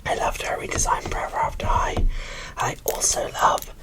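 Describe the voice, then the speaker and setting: A woman from the UK whispering softly and breathily as she hosts an ASMR unboxing, in an unhurried way. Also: distant mic. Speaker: host of an ASMR doll unboxing channel.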